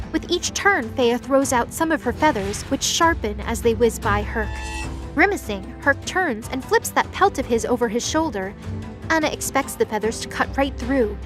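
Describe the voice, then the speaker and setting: A woman reading scripted third-person narration for an audio drama. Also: high-pitched. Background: music under the voice.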